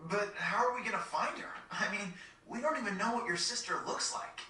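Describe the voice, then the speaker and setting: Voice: medium pitch, brisk, bright, forceful tone, natural voice. An actor playing a casual, protective, take-charge teenage boy in a video game cutscene, frank and informal. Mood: reasoning. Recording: echoey, distant game audio.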